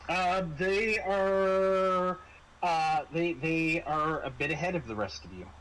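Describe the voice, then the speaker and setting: A man working as game master, improvising horror narration in a halting, conversational way. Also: a little high, a bit bright, slight background noise, poor audio.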